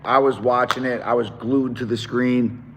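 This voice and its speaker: A man with a hoarse, worn-out voice, chatting excitedly to viewers on a live stream. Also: mid-range voice, moderate pace, in a large hall, background noise. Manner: excited, talks straight to viewers. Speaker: musician hosting a live stream. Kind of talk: casual live-stream chat.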